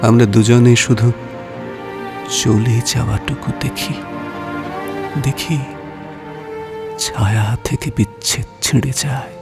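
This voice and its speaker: A man playing a romantic, playful poet in an audio drama, with lyrical, expressive phrasing. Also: mid-range voice. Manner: lyrical, drawn-out phrasing, teasing. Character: romantic male poet, tender, playful, mock-aggrieved lover. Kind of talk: Bengali audio drama with poetry recitation. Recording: music under the voice. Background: background music.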